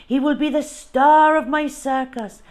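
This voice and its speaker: A woman's voice: high voice, fairly even intonation, unhurried, a little mellow, pitched above the performer's own voice. Woman putting on a grand, effusive French circus man. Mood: delighted, grand. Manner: grand, effusive, emphatic declarations. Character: warm, boastful, earnest French circus-owner man. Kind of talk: children's storybook character voice.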